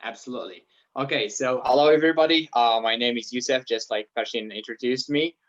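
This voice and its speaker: A man's voice: medium pitch, a little fast. A man, answering interview questions in a casual, hesitant way with frequent fillers. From Algeria. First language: Arabic and French. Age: 20s. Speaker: guest. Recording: dull lo-fi audio.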